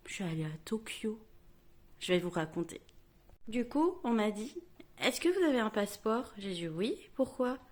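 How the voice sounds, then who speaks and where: A woman vlogger chatting at home to her camera, telling a story in a self-mocking, lively way. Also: fairly high pitch.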